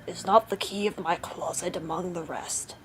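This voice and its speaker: A woman's voice: on the low side, steady tone, moderate pace, voice pushed down, close to an everyday voice. A stern, merciless storybook husband, voiced by a woman, issues commanding threats to his wife. The delivery is cold and menacing, with some noise in the recording. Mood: cold menace. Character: menacing, merciless murderous husband with a great saber. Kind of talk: storybook character voice.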